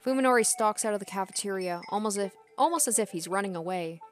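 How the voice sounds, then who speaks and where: A woman's voice: mid-range voice, fast talker. A woman streaming a game, reading visual novel narration aloud with casual asides. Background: soft game music.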